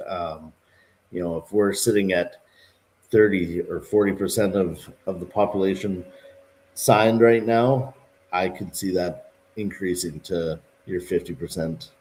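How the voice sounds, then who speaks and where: Low-pitched man from Calgary and Vancouver, earnest, restarts often, casual political chat. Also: darkish tone, low-quality recording. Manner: earnest, with many restarts and "you know". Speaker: livestream co-host.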